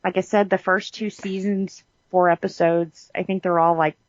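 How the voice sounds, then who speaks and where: A woman's voice: on the low side, little pitch movement, normal speed, no echo. A woman co-hosting a podcast, talking casually in a chatty, informal storytelling way. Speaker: podcast co-host. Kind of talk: casual chat. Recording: dull, lo-fi sound.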